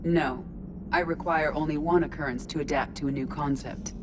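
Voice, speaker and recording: An actress playing a curious, logical artificial intelligence in a robot body in a video game, speaking formally, literally and with composure. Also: female voice, sits low, fairly even intonation, moderate pace, background noise, close to an everyday voice. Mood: calm, precise. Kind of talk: video game dialogue acting. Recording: clear ship ambience behind voice.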